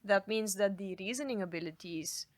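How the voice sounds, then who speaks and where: A woman's voice: medium pitch, normal speed, dry recording. A woman interviewer asking curious questions and murmuring agreement as she listens. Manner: curious, with brief back-channel murmurs.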